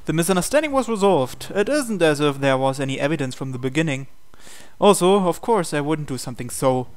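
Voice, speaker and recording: A man reading visual novel dialogue aloud in character, with a hesitant, halting delivery. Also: on the high side, expressive, clear, resonant tone. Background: game music.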